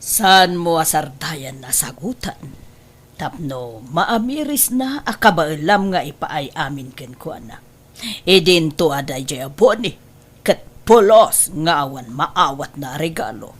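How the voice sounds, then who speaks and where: A woman's voice: low-pitched, sing-song, a little slow, breathy edge, exaggerated voice. A middle-aged woman with an Ilocano accent playing a scheming, disapproving mother in an audio drama. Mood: calculating. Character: scheming, wealthy mother, disapproving of daughter's boyfriend.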